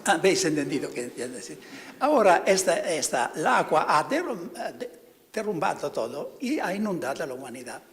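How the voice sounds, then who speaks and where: A man, a priest, giving a spiritual talk to fellow priests, halting and often stopping to search for words. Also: fairly high pitch, very animated, a bit bright, big-room sound.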